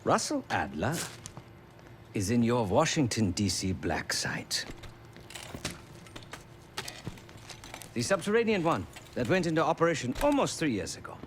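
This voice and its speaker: An actor playing an East German defector, a former enforcer turned tech expert, with a German accent and a measured, drily polite delivery. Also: male voice, medium pitch, very animated, slight background noise, natural voice. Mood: dry, courteous. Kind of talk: video game cutscene acting.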